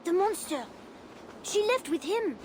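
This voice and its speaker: Anxious, very high-pitched young boy prince. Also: lively intonation, unhurried, a little hiss or hum, rough recording. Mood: frightened, earnest. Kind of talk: video game voice acting.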